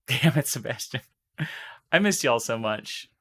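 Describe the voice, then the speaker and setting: Hoarse chatty man painting on stream. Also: mid-range voice, expressive, takes it slowly, pushed and bright. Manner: chatty and upbeat, talks straight to viewers. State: hoarse, losing his voice. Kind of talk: live-stream painting tutorial.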